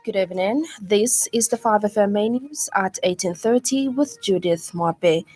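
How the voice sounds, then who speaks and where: A woman's voice: medium pitch, normal speed, over music. A woman reading a formal radio news bulletin as the presenter.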